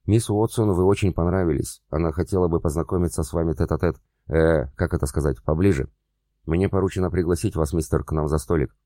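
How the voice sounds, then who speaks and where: A man's voice: low-pitched, quick pace, dark tone, dry recording, clean studio sound, close to an everyday voice. A man voicing a bodyguard who poses as a foreign assistant, speaking broken Russian sprinkled with English words. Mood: smooth pretending.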